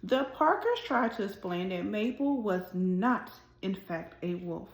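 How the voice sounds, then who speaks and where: Slow-paced woman reading to children, roomy sound. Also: mid-range voice, big pitch swings, creaky. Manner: deliberate, addressing young children directly. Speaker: host of a children's read-aloud show. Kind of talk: children's storybook read-aloud.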